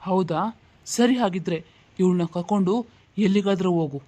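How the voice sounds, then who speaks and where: A woman's voice: lowish voice, normal speed, warm tone, natural voice. A woman voicing a stern, scornful elder brother who speaks curtly and stubbornly in a cartoon family drama. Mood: cold, stubborn. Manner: curt, dismissive, confrontational. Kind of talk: dramatic cartoon story dialogue.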